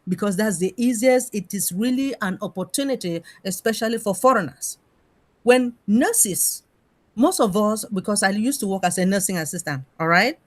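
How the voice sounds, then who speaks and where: A woman's voice: medium pitch, expressive, normal speed, no echo. A middle-aged woman with an African accent, speaking emphatically in an informal discussion and putting rhetorical questions. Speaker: panel member in an open discussion.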